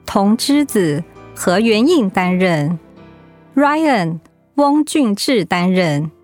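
A woman's voice: medium pitch, a little mellow. A woman reading scripted radio drama credits as an announcer.

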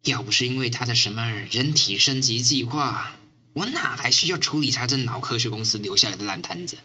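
A woman acts out a weary chain-smoking squad member in a radio drama. The delivery is sardonic and animated, with a bright, cutting edge and a teasing drawl. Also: extremely low pitch, fairly quick, slight background noise, heavily acted. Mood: bitter. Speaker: actor in amateur radio drama. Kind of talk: amateur radio drama acting.

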